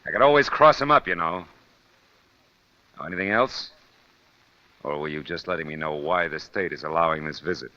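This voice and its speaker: Deep, sharp American radio private eye. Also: male voice, moderate pace, pauses often. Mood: sardonic probing. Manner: wry, clipped, hard-boiled. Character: shrewd, wisecracking male private detective. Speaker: actor in a radio detective drama. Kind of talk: mid-century American radio noir acting. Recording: dull old radio recording, faint music.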